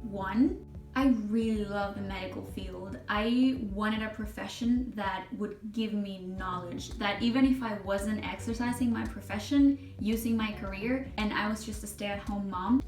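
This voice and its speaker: A young adult woman from Chile, a nursing vlogger, talking casually and expressively to camera, laughing often. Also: medium pitch. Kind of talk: casual vlog talk to camera. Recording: echoey room, mic at a distance.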